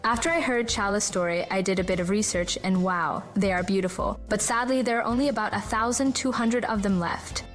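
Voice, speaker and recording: A young woman from Pakistan explains things earnestly as a podcast co-host. Her pitch is medium, and faint music plays behind her. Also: brisk, few pauses.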